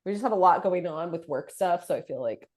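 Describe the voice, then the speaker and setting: A woman in her thirties, a podcast co-host chatting casually, her voice deep and nasal from a head cold, self-deprecating and full of fillers. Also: animated, fast talker, a little mellow. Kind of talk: casual chat.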